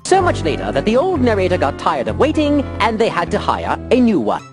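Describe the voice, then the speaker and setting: A performer voicing a deep-voiced cartoon narrator who announces time skips in a slow, dramatic way. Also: huge pitch swings. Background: background music.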